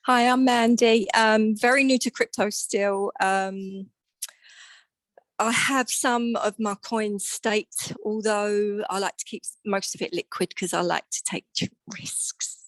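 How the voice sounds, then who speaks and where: A woman's voice: medium pitch, steady tone, takes it slowly, dry recording, low-quality recording. A woman casually introducing herself as a meeting participant.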